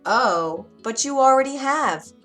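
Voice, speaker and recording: A woman's voice: high-pitched, lively intonation, measured pace, a bit bright, soft background music, pitched above the performer's own voice. A woman voicing a sly, smug little imp man in a storybook tale, with a taunting, emphatic delivery.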